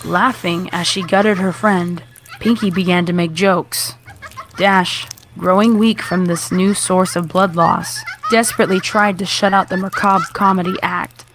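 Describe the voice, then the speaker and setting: Lower-voiced woman narrating a horror story. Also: steady tone, a little slow, noisy background.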